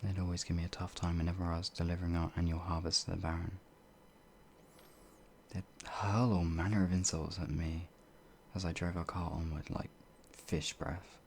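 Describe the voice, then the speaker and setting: A man voicing a shy, gentle werewolf in an ASMR audio roleplay, hesitant and halting, with a breathy, gravelly voice that often drops toward a whisper. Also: low-pitched, level tone, a little hiss or hum. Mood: sheepish, halting. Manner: hesitant, timid, halting, with sighs and trailing phrases. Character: shy, gentle, bumbling pacifist male werewolf, older than he looks.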